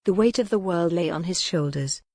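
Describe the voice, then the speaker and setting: A woman's voice: on the low side, normal speed, darkish tone, no room sound, clean studio sound. A woman reading scripted dramatic fiction as an audiobook narrator.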